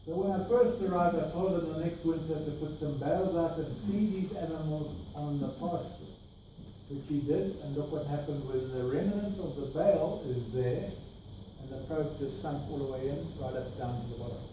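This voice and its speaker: A man's voice: a little high, moderate pace. A middle-aged man with a South African accent, presenting slides to a workshop group in a meeting room and pointing out details as he explains. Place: meeting room with projector screen. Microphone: far camera, no mic. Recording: distant echoey room with noise.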